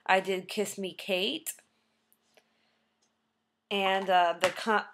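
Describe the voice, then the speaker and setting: A young woman, a trained singer, talking casually about her background to a webcam, with plenty of ums and restarts. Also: medium pitch. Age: young adult. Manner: rambling, many ums and restarts.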